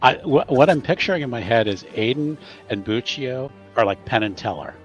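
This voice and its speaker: Mid-pitched man at a game table. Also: expressive, moderate pace, dull audio, soft background music. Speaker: tabletop roleplaying player. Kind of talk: casual table chat and narration.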